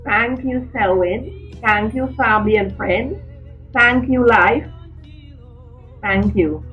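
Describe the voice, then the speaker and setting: Older woman, husky. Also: on the high side, slow pace, muffled recording, faint music behind. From Guyana. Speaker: guest. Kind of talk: remote interview, reminiscing.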